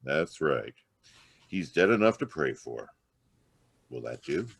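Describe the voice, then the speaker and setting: An actor playing a smug, needling homicide inspector in 1940s radio crime drama style, taunting and sardonic. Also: male voice, very deep voice, very animated, takes it slowly, gravelly, husky, dry recording, clean studio sound, exaggerated voice.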